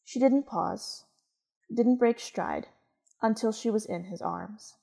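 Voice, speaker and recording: A woman's voice: medium pitch, takes it slowly, gravelly, mellow. A woman reading scripted literary narration as a storyteller.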